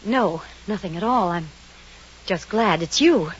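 An actress playing a headstrong, sensible woman who insists she is not afraid, firm and brisk, in a vintage radio drama. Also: mid-range voice, expressive, close to an everyday voice. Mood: uneasy bravado. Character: headstrong, sensible unmarried woman, stubbornly unafraid. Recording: muffled old radio recording with hiss.

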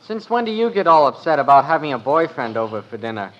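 An actor playing a gawky, bossy but good-hearted American teenage brother with emphatic gee-whiz delivery in 1950s radio comedy. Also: male voice, a little high, big pitch swings, fast, lo-fi sound. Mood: concerned, bossy.

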